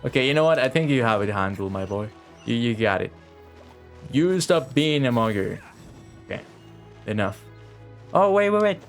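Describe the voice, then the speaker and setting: A man's voice: mid-range voice, animated, moderate pace, pauses often. A man giving casual, sarcastic play-along game commentary, swearing freely. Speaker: game streamer. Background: video game music.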